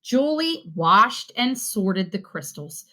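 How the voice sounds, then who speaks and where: Lively, unhurried woman reading a storybook. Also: medium pitch. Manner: deliberate, animated delivery for young children. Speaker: read-aloud reader. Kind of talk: expressive children's storybook narration.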